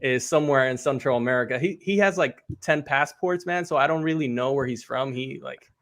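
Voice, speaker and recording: A man's voice: medium pitch, fairly quick, fairly bright tone. A man from Puerto Rico hosting a casual show, laid-back and friendly, dropping in "dude" and "man". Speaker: podcast host. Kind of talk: casual chat.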